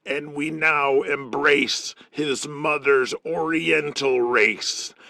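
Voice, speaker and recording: An actor playing a brash veteran wrestling manager in an audio drama, gravelly and grandiose. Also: male voice, a little high, steady tone, extremely slow, dry recording, heavily acted. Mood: grandiose, menacing. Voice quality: gravelly, creaky. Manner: forceful, grandiose.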